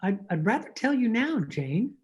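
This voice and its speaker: A woman's voice: a little high, big pitch swings, a little mellow. Woman playing dull-sounding, stuffy, awkward young suitor. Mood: earnest, nervous. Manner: formal, halting, pompous. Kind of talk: old-time radio drama acting. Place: at home, recorded over a video call.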